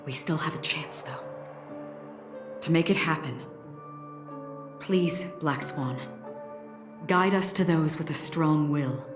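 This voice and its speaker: An actress playing a calm, earnest, dignified woman in a video game, speaking in a measured, courteous way. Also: low-pitched, roomy sound, over music. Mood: earnest, resolute. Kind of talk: video game story dialogue.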